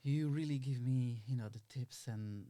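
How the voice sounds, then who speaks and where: Guy with as chatting in an interview, average pitch, really dry recording, acts out little quotes. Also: normal speed, warm tone, dry recording. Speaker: interview guest, filmmaker and actor. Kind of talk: casual conversational interview.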